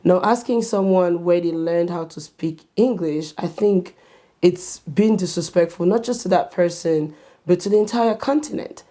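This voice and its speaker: A woman hosting a talk show, explaining things to the viewer in a measured, deliberate way. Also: on the low side, warm tone. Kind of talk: scripted-style explainer to camera.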